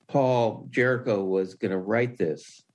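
A man's voice: on the low side, a little slow. An older man, a writer being interviewed, talking casually and anecdotally. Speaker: interview guest, screenwriter.

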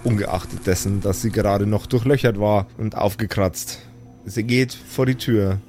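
A man's voice: lowish voice, fairly even intonation, normal speed, darkish tone, faint music behind. A man improvising story narration and banter as a game master on a podcast.

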